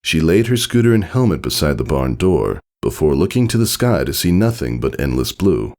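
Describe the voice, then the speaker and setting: A man reading a scripted story aloud as an expressive narrator. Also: extremely low pitch, normal speed. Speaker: fan fiction reader.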